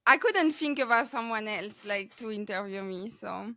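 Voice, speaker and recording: A woman's voice: medium pitch, expressive, moderate pace, bright, forceful tone, dry recording, studio quality. A woman from France with a French accent chatting casually as a podcast host being interviewed. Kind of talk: casual conversational interview chat.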